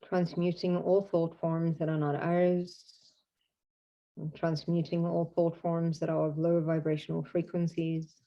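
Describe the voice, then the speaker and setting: A woman guiding a meditation in a soothing, unhurried manner, speaking in short phrases with long pauses. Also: sits low, little pitch movement, highs cut off. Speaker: meditation guide. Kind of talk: guided meditation narration.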